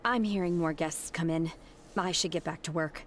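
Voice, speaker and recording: A woman's voice: sits low, moderate pace, slight background noise, natural voice. An actress playing a devoted young woman disciple in a video game, polite, earnest and restrained. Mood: dutiful. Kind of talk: scripted video game dialogue. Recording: music playing behind.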